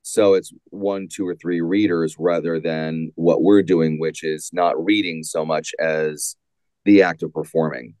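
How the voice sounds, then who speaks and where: A man giving a measured, explanatory answer as an interview guest, repeating points for emphasis. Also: low-pitched, steady tone, a little mellow, dry recording. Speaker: audio drama writer and interview guest.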